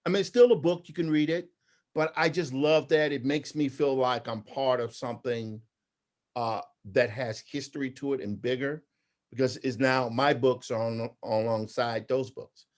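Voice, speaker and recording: Earnest middle-aged man, mid-pitched voice. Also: fairly even intonation, moderate pace. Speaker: interview guest, graphic novelist and artist. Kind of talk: conversational interview answers.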